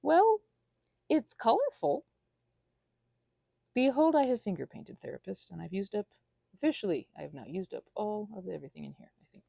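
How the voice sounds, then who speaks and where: A woman in her thirties giving a rambling, confessional ASMR monologue in a halting, earnest, self-deprecating way, with a husky, creaky voice. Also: mid-range voice, sing-song, warm tone, no room sound. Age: 30s. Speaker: ASMR channel creator.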